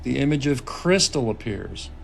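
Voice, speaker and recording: A man's voice: medium pitch, big pitch swings, normal speed, creaky. A man reading stage directions as scripted dramatic narration at a screenplay table read. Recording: noisy table-read room recording.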